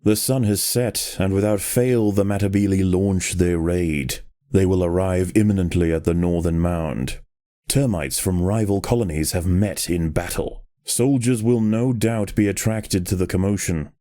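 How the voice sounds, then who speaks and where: A man giving a scripted nature documentary narration for a video game in a measured, solemn and dramatic manner. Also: deep voice, mellow, no echo, studio quality.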